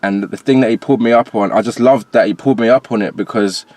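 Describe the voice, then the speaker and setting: A man's voice: on the low side, fast talker, a little mellow, no room sound. A man from Jamaica with a transatlantic accent, talking casually and in slang as a rapper guest in an interview. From Jamaica, later moved to England. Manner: conversational, slangy.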